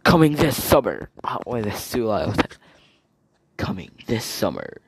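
A man's voice: on the low side, sing-song, measured pace, slight background noise. A man doing a dramatic trailer announcer.

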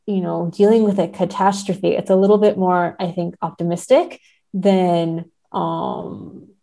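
A woman's voice: lowish voice, fairly even intonation, unhurried, vocal fry, mellow. A woman, a podcast guest, discussing books casually in a tentative, thoughtful way with hedged phrasing. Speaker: podcast guest, literary translator.

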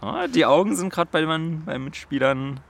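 A man's voice: a little high, animated, creaky. A young German-speaking man hosting a casual quiz among friends, reading historical facts aloud with slips and restarts. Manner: halting, reads with slips and restarts. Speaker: quiz host.

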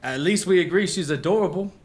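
Man acting a gallant, higher-voiced romantic hero. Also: fast talker, lightly acted. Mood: smug. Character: gallant, confident young lover and romantic hero. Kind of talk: gothic comedy audio drama acting.

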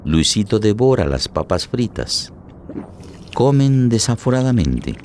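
Solemn radio drama narrator, swinging intonation. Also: male voice, on the low side, big pitch swings, unhurried, a little mellow, slight background noise. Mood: grave, tense. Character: grave male narrator of a tragic radio drama.

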